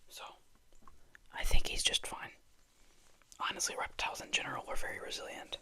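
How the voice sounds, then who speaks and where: An ASMR host talking casually and hesitantly in a soft, breathy, husky whisper, rambling in a chatty way. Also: fairly quick. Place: a room at home.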